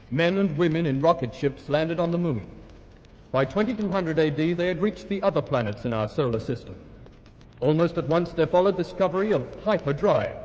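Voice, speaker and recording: A man narrating a 1950s radio drama in a formal, measured scripted style on an old recording. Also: on the high side, a little mellow. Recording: muffled, reverberant, noisy old radio recording.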